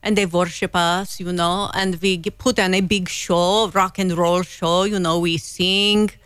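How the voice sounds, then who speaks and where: A woman's voice: fairly low pitch, flat delivery, a little slow, a bit bright, clear, resonant tone, dry recording. A woman voicing a theatrical vampire from a castle, drawling in a thick put-on Transylvanian accent. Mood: haughty. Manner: drawling, grand, mock-menacing. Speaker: singer in a comedy band.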